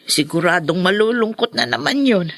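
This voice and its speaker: Lively, fussing Filipino woman friend. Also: lowish voice, normal speed, no room sound. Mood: worried. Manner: expressive, concerned, exclaiming. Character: caring, fussy older female best friend. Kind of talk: Filipino radio drama acting.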